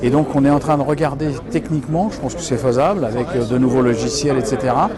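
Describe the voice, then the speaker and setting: A man's voice: mid-range voice, quick pace, over a noisy crowd. A man, a local elected official, explaining things in an interview in colloquial, hesitant phrasing.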